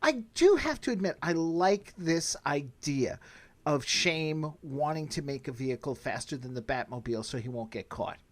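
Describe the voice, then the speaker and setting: A man's voice: a little high, lively intonation, moderate pace. A man hosting a casual review chat, recapping in an easygoing, conversational way. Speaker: podcast host.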